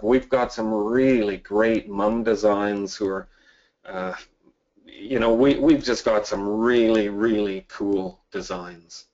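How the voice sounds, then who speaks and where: A man from Canada hosting a live sales webinar, answering chat questions in a casual, upbeat way with many fillers. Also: fairly low pitch. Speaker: webinar host. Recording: dull lo-fi audio.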